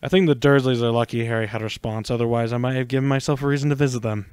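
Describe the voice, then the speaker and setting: Guy playing cocky protective young lion in a story, talks fast, real smooth. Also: medium pitch, steady tone, darkish tone, dry recording, clean studio sound, close to an everyday voice. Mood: wry.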